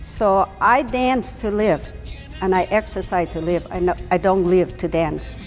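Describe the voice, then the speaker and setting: A woman's voice: fairly low pitch, steady tone, unhurried, big-room sound, faint music behind. A woman in her sixties from Thailand, answering interview questions in an earnest, emphatic way. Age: 60s. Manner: earnest, emphatic, non-native grammar. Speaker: ballroom dancer, interviewee.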